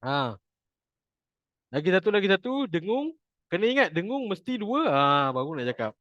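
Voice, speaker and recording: Malaysian guy teaching an informal class, jokey, repeats stuff, higher-ish voice, muffled dry audio. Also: big pitch swings, normal speed, a bit bright, dry recording. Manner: chatty, jokey, repeats points for emphasis. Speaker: Quran recitation teacher.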